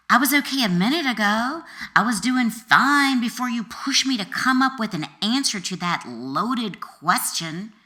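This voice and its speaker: Slow, bright-voiced American woman narrating expressively. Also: fairly low pitch. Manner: dramatic, deliberate phrasing, acts out quoted dialogue. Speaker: author reading own book. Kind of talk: expressive audiobook memoir narration.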